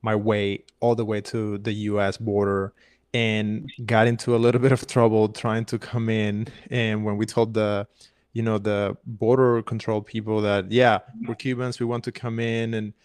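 A man's voice: fairly low pitch, little pitch movement, moderate pace, darkish tone, dry recording, clean studio sound. A man from Cuba in his thirties, a filmmaker guest on a podcast, telling his story in an earnest, enthusiastic way. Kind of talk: casual interview storytelling.

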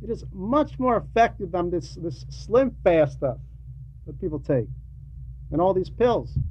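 A man giving a sermon in a halting, explanatory and admonishing way, with conversational asides. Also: high voice, lively intonation, a little mellow. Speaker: preacher reading a translated homily. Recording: dull lo-fi recording with faint noise.

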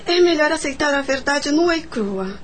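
A woman's voice: high-pitched, lively intonation, normal speed, a little hiss or hum. An actress playing a frail, melancholic young woman in a radio drama, mournful, sighing and resigned to dying. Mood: bitter resignation.

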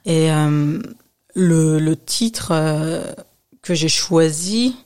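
Femme française franche, à la voix plutôt grave. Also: female voice, deep voice, drawn-out words, a little mellow. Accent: French from France. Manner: candid, emphatic, informal. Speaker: guest, author. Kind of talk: conversational interview answers.

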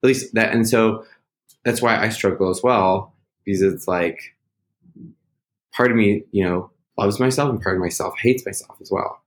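Lowish-voiced guy musing out loud in a chat, rambly, lots of fillers, a bit loud at times. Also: moderate pace. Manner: rambling, self-searching, many fillers. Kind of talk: casual reflective chat.

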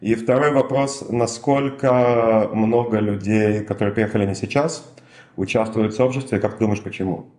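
A man hosts the conversation, asking long, probing questions. His voice sits somewhat low. The room around him echoes noticeably. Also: steady tone, normal speed, a little mellow. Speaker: podcast host. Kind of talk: interview questions.

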